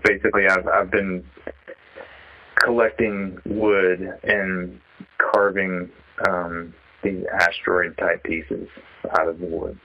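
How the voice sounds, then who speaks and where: A man with a fairly low voice speaks casually over a muffled phone connection. He is an artist being interviewed, feeling his way through his thoughts with halting, reflective phrasing. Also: level tone. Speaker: interviewee, sculptor. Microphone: telephone. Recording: dull, band-limited phone call.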